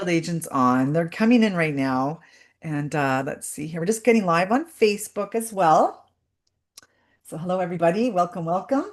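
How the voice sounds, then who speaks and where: A woman hosting a live webinar over a video call, chatty and welcoming as she greets everyone. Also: fairly low pitch. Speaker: webinar host.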